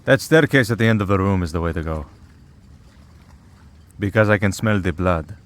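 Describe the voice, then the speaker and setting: A man's voice: low-pitched, lively intonation, normal speed. A man playing a centuries-old vampire priest in an audio roleplay, dry, sardonic and protective. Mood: exasperated, dry.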